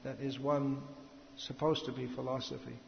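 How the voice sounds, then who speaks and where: Man lecturing slowly in a big reverberant hall, muffled lo-fi sound, halting delivery. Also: medium pitch, flat delivery, gravelly. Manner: slow, halting, with pauses and restarts. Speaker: speaker giving a spiritual lecture. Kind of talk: slow philosophical lecture. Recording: echoey hall, lo-fi with faint noise.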